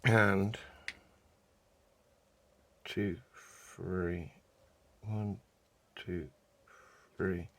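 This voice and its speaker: A man from the UK with a croaky voice, chatting casually as he explains a resin craft project step by step. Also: sits low, fairly even intonation, fairly bright tone. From United Kingdom. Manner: unhurried, chatty, talks while doing hands-on craft work. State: croaky voice, clearing throat. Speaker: resin art vlogger. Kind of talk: casual how-to narration while working.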